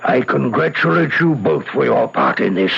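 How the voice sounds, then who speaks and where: An actor playing a pompous, gracious senior doctor with formal oratory and a gravelly, raspy voice in an old-time radio drama. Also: male voice, medium pitch, lively intonation, moderate pace, a bit bright, full character voice. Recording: muffled old radio recording.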